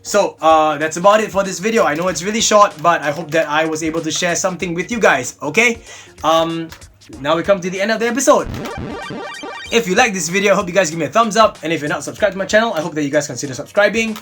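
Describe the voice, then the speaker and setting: Young male vlogger, slightly high voice, chatty and upbeat, desk camera, faint music under. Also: fast talker, fairly bright tone. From Singapore. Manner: chatty and upbeat, repeats "really, really". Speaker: host of a toy blaster review channel. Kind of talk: casual product review vlog. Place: small indoor room, seated at a desk.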